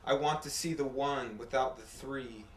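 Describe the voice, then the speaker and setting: A man reading poetry aloud in a measured, deliberate way, with pauses between short phrases. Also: mid-range voice. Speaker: poet reading own work. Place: apartment room at a live reading. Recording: echoey room, faint background noise.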